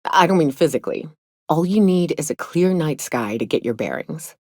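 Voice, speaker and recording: A low female voice for a hardened huntswoman in a space frontier video game. She is gruff and blunt, with folksy turns of phrase and a foul mouth over old grief. The sound is completely dry, with no room around it. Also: animated, normal speed, darkish tone, no room sound. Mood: wry, reflective. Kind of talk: video game character dialogue.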